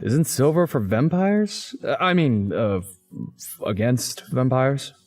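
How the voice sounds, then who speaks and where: A man's voice: medium pitch, sing-song, slow pace, darkish tone, soft background music. A man voicing a haunted, wry young man who narrates a visual novel game in a dramatic, introspective way. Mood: wry, bemused.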